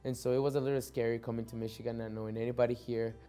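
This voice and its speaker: Young male soccer player from Mexico, Spanish first language, dark even voice, faint music underneath. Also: medium pitch, very fast. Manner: reflective, repeats words as he restarts. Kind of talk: documentary interview.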